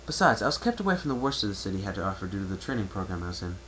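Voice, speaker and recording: A man's voice: low voice, big pitch swings, very fast, a bit bright. Casting: man reading as young male pony traveler, reserved, courteous, casual, over faint home-recording noise. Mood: guarded. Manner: polite, hesitant, casual. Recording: noisy amateur home recording.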